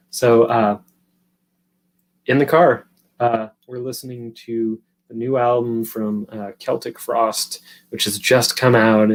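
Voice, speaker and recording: A man's voice: medium pitch, poor audio. A man in his thirties from California, hosting a live stream and telling a long story in a loose, rambling, foul-mouthed way while answering his chat. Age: 30s. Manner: profane, rambling, chatty, answers viewers' chat.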